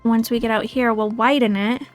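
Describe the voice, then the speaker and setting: Higher-pitched woman musing aloud on stream. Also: steady tone, normal speed, soft background music. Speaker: game streamer. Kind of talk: casual live gaming chat, thinking aloud. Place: indoors, livestream.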